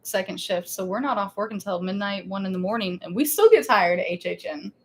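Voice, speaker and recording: A woman's voice: medium pitch, expressive, a little fast. A woman co-hosting a casual theme park live stream, chatty and laughing, rambling with restarts.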